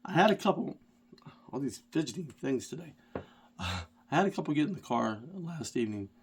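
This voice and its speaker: Middle-aged rideshare driver, stuffy from a cold, casual rambling storyteller with a self-deprecating streak. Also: male voice, mid-range voice, very animated, moderate pace, a little hiss or hum. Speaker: rideshare driver and channel host.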